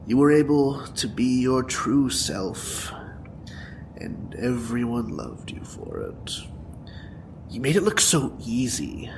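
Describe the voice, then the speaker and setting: A male actor plays a bitter young supervillain in an audio drama. He speaks slowly and tauntingly, with dramatic, very animated swings of pitch. Clear noise and ambience sit behind him. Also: full character voice. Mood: bitter, accusing. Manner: taunting, dramatic, profane, breaking into whispers and laughter. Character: bitter, wounded, theatrical young male supervillain with mind-control powers.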